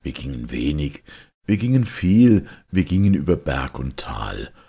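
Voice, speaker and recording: A man reading a fairy tale aloud as a storyteller, in a theatrical, deliberate and dramatic manner. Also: very deep voice, very animated, gravelly, dark tone, husky. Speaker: storyteller, reader.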